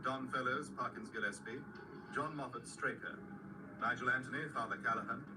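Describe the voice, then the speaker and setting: A man's voice: mid-range voice, fairly even intonation, a little fast. A man reading scripted credits as a radio drama announcer. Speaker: continuity announcer. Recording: distant replay of radio broadcast, heavy noise.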